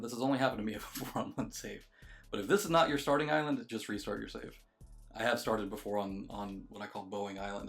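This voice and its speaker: A man's voice: lowish voice, creaky. A man giving a casual, matter-of-fact video game walkthrough, explaining things step by step. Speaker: game guide creator.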